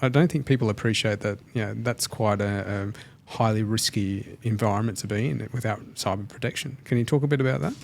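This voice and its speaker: Gravelly man, lowish voice. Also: a little fast, hardly any pauses, darkish tone, a little hiss or hum. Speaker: podcast co-host. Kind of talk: casual interview questioning.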